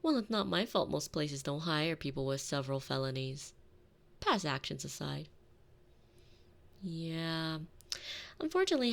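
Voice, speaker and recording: A woman's voice: deep voice, gravelly, exaggerated voice. A woman playing a sardonic, thrifty retired villainess turned doting housewife in an ASMR roleplay, with wry, teasing, theatrical delivery. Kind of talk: scripted ASMR roleplay audio drama. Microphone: close binaural microphone.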